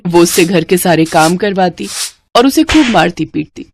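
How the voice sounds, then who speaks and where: A woman's voice: fairly low pitch, lively intonation, normal speed, background noise. A woman narrating a scripted children's moral story as the storyteller.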